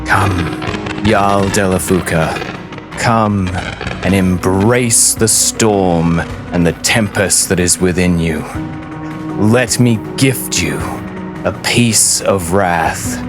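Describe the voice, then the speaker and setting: Man acting a grandiose, low-voiced storm lord villain. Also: takes it slowly, music in the background. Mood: grandiose, beckoning. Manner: solemn, grandiose, pleading.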